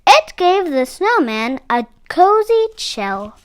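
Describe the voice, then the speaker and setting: Slow, high-voiced woman reading a storybook. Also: sing-song. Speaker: read-aloud storyteller for children. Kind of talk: expressive picture-book narration.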